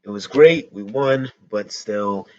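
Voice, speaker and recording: A hoarse young man hosting a casual trailer reaction, breaking into excited rapid exclamations. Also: on the low side, highs cut off. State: hoarse from yelling.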